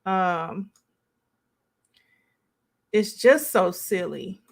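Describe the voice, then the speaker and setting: A woman's voice: fairly low pitch, expressive, drawn-out words, creaky. A woman hosting a live stream, giving casual, conversational reaction commentary as she thinks aloud and responds to chat.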